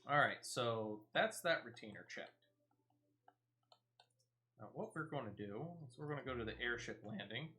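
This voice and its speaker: A man's voice: mid-range voice, expressive, normal speed, long pauses, pushed and bright. A man giving casual, chatty gameplay commentary, thinking aloud with a playful, mildly self-deprecating touch. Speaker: gaming streamer.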